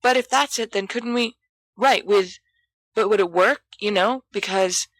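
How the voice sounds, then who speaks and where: A woman's voice: mid-range voice, unhurried, dry recording, pitched above the performer's own voice, lightly acted. A woman voicing an imperious, flippant teenage schoolboy with a commanding, teasing manner in an audiobook story. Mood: musing.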